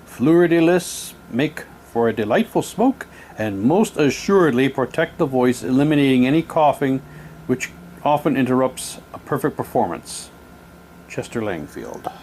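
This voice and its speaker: A man with a mid-pitched voice presents items in a casual, unpolished way. He moves between informal commentary and reading aloud, and there is some audible noise behind him. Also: lively intonation, normal speed, a little mellow. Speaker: presenter showing audio drama props. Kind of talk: casual show-and-tell, reading prop documents aloud. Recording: noticeable background noise.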